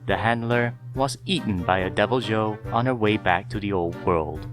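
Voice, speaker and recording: Male narrator, lowish pitch, measured, dramatic, scripted fiction reading, soft music underneath.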